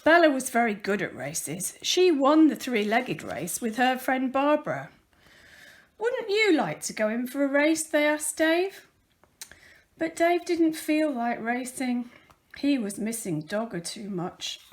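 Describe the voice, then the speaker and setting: Female children's story reader: high-pitched voice, unhurried pace, expressive and deliberate delivery. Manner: deliberate, careful storytelling with dramatic emphasis. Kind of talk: expressive read-aloud of a children's picture book.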